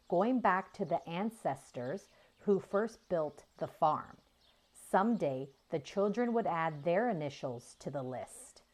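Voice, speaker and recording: A woman reading a children's story aloud in an expressive storytelling style. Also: fairly low pitch. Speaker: children's audiobook reader.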